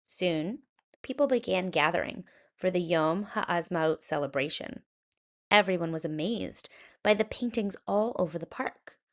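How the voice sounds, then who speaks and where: A woman narrating a children's picture book aloud in an expressive, animated storytelling manner. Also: fairly low pitch, measured pace.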